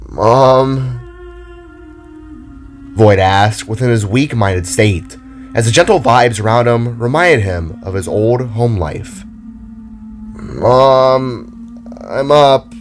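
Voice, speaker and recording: A man narrating a scripted story in a dramatic, deliberate and theatrical way. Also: fairly low pitch, soft background music. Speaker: narrator and author.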